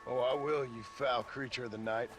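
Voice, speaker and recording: A man's voice: mid-range voice, steady tone, normal speed, background noise, close to an everyday voice. A man playing a cynical Western gunslinger in a video game, dry, blunt and sardonic. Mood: scornful. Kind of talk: video game cutscene acting.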